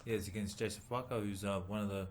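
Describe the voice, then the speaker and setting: A man from New Zealand, a boxer, answering interview questions haltingly with many pauses and fillers. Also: fairly low pitch, fairly even intonation, a little hiss or hum. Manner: halting, many ums and uhs, soft-spoken. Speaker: boxer and former rugby league player, guest. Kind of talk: casual interview.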